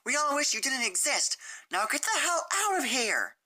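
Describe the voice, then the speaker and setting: A woman voicing a brash, aggressive, protective teenage tomboy in a fan story reading, forceful and confrontational. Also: sits high, very animated, normal speed, pitched above the performer's own voice, full character voice. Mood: furious yelling.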